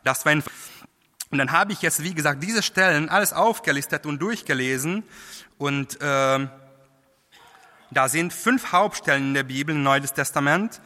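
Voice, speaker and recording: A man preaching a sermon in a language that is not his first, halting, with many fillers and restarts. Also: a little high, bright, forceful tone. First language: not German. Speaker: lay preacher. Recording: long hall reverb.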